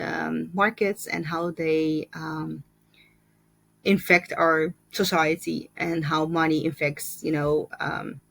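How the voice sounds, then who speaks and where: A woman in her thirties with a fairly low voice chats through her year of reading. She talks thoughtfully, stopping often with small fillers and a quick laugh. The sound is close and dry, with no room echo. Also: creaky. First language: Russian. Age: 30s. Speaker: book vlogger. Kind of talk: casual reading wrap-up chat.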